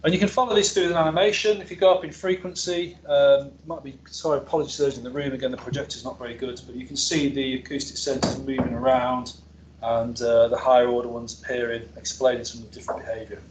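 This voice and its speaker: A man's voice: medium pitch, fairly even intonation, quick pace, slight background noise, rough recording. Man giving an informal technical seminar. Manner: informal, hesitant explaining with self-corrections. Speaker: academic researcher giving a seminar. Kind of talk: technical seminar presentation with slides. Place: seminar room with an audience present.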